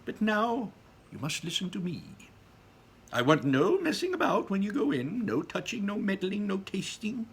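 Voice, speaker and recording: A man voicing an excitable, bossy, eccentric chocolate inventor in a children's storybook, exclaiming his commands and boasts emphatically. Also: high-pitched, normal speed, breathy edge, voice pushed up, heavily acted. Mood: secretive, stern. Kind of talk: children's storybook read-aloud.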